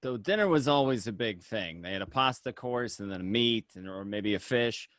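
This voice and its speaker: A man's voice: on the low side, animated, normal speed, a bit bright, no room sound, clean studio sound. A man playing a wry, matter-of-fact mobster who narrates his prison memories conversationally in a dramatic script reading. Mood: wry reminiscing.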